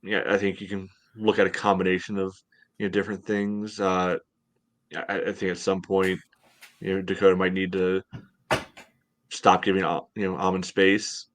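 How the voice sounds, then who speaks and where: Brief: male co-host, thirties, deep voice, dry close sound, casual match breakdown. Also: monotone, normal speed, no echo. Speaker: podcast co-host. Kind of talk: casual sports analysis. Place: home room, on a video call.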